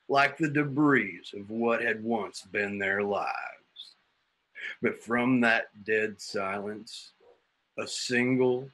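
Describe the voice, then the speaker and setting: A man's voice: lowish voice, pushed and bright, low-quality recording. A man giving scripted dramatic narration for a radio play, reading out sound cues as the narrator. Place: home room on a video call.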